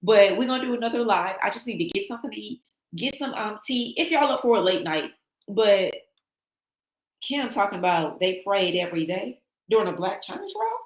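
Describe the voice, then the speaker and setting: Slightly raspy woman hosting a livestream. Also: mid-range voice, brisk, roomy sound, rough recording. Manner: chatty, animated, reads viewer comments aloud. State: losing her voice from travel. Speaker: livestream host. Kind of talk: casual livestream sign-off chat.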